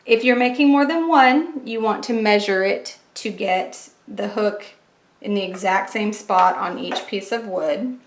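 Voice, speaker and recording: A woman walks through a craft project step by step in a chatty, practical way, her voice worn by a cold. She talks at an ordinary middle pitch. The room is echoey, with faint clatter in the background. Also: unhurried, a little hiss or hum. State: fighting a cold, losing her voice. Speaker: craft channel host. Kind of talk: craft tutorial.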